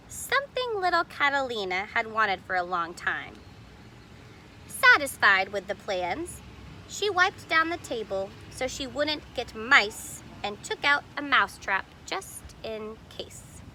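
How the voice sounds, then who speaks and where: A woman reads a children's picture book aloud in a fairly high, very animated voice. She is expressive and explanatory, pointing things out for young listeners. There is faint noise behind her. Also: poor audio. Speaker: storybook reader.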